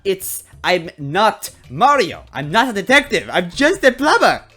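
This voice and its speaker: A nervous, pleading plumber, played by a man, whose voice jumps high and loud as he protests. He is timid and flustered, a reluctant hero in a comic detective story, over background music. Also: big pitch swings, measured pace, breathy edge, heavily acted. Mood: anxious pleading.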